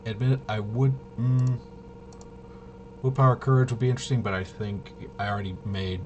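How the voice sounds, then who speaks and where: A man with a fairly low voice talks casually through a tabletop game walkthrough. He thinks aloud haltingly, often muttering to himself as he goes. Clear background noise sits behind his voice. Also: steady tone, darkish tone, dull audio. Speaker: host of a tabletop RPG video. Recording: noisy room, clearly audible background noise.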